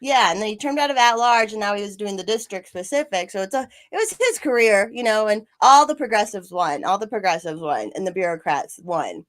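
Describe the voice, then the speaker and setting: A woman's voice: medium pitch, lively intonation, brisk, hardly any pauses. A woman hosting a casual livestream chat, rambling and swearing freely, laughing often. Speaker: podcast host, former city council candidate.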